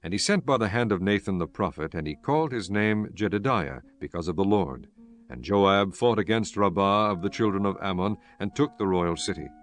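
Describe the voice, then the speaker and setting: Low guy narrating old bible stories, solemn, music playing behind him. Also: expressive, fairly quick. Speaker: audiobook narrator. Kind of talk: scripted scripture narration, solemn storytelling.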